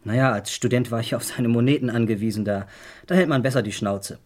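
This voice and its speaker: An actor playing a defiant young law student in a German radio crime drama, speaking in a blunt, slangy, halting way. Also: male voice, mid-range voice, darkish tone, lightly acted. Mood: sullen, frank. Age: young adult. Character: defiant, blunt 21-year-old male law student son.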